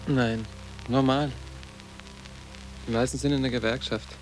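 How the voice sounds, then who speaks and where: A man's voice: mid-range voice, fairly even intonation, a little slow, soft background music, close to an everyday voice, rough recording. A male actor playing a sarcastic, idealistic schoolboy in a radio play, speaking in terse, dry statements. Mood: dismissive. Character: sarcastic, idealistic teenage schoolboy resisting his elders. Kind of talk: literary German radio play acting.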